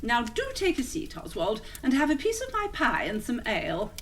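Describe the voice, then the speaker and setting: A woman's voice: on the high side, huge pitch swings, normal speed, a little hiss or hum. An actress playing a gracious, polite mother welcoming a young man into her home in a period radio play. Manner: polite, hospitable.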